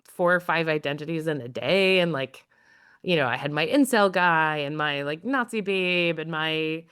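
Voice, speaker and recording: A woman in her thirties, a journalist guest talking fast and wryly with lots of fillers in a casual interview. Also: low-pitched, a bit bright, clear, resonant tone, no room sound, studio quality. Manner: fast, filler-heavy, wry.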